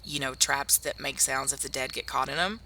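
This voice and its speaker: A woman playing a tough, wry young survivor in a zombie apocalypse ASMR roleplay, speaking softly and intimately to one listener. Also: extremely low pitch, moderate pace, slight background noise, exaggerated voice. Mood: calm, guarded. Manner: soft-spoken, intimate, addressing one listener. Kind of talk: ASMR roleplay audio drama.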